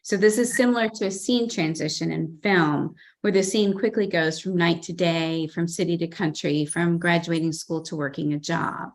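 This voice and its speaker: Low-voiced woman leading a writing lesson. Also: steady tone, normal speed, few pauses. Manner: earnest, enthusiastic about examples. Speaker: writing workshop presenter. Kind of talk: presenting a lesson on a video call, reading excerpts aloud. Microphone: video call.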